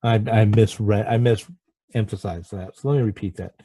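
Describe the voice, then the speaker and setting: A man hosting an informal writing lesson, halting and apologetic as he talks and reads aloud, often stumbling over his words. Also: lowish voice, fairly even intonation, mellow, no room sound. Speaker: host of a writing podcast. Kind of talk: informal lesson with passages read aloud from a book.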